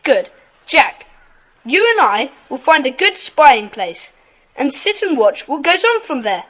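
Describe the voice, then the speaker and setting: A woman playing an earnest, bossy schoolboy club leader in a children's audio drama, brisk and insistent. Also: sits high, big pitch swings, a bit bright, exaggerated voice, poor audio.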